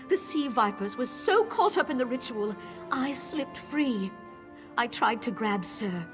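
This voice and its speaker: An actress playing a frightened, urgent female sailor who escaped captivity in a fantasy video game. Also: fairly high pitch. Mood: alarmed, urgent. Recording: game music behind the voice.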